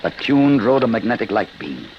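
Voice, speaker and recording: An actor playing an embittered, obsessed old scientist in a radio drama, grave, intense and defiant. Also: male voice, lowish voice, very animated, normal speed, muffled recording. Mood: intent, determined. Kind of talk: 1950s American radio drama acting. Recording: noisy, hissy old radio recording.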